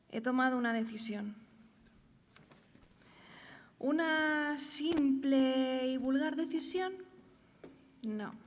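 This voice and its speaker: A woman's voice: high-pitched, a little mellow, slight background noise. A woman playing a proper young unmarried lady of 1895 in a theatrical, declamatory radio drama monologue. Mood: resolute, dramatic. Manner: declamatory, rhetorical. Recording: echoey hall, long reverb.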